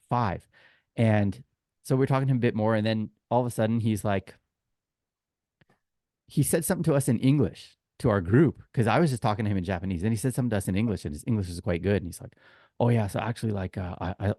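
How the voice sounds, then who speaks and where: Low-voiced man chatting in a dry, close recording. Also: quick pace, dark tone, no room sound. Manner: chatty, informal storyteller who acts out quoted exchanges. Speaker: podcast co-host and tour guide. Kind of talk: casual sports podcast chat, telling anecdotes.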